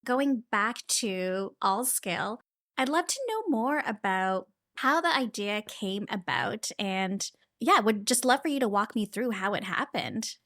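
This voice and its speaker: A woman interviewer asking a question in a soft, unhurried way. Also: mid-range voice, lively intonation, no room sound, clean studio sound.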